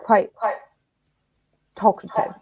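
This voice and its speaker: A woman from Estonia speaking reflectively as an interviewed writer, thoughtful and halting as she searches for words. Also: a crowd in the background, low-quality recording.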